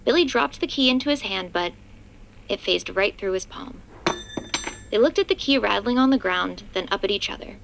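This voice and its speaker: Expressive female storyteller with creaky edge. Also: medium pitch, moderate pace, slight background noise, poor audio. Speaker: narrator. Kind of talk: scripted third-person audio drama narration.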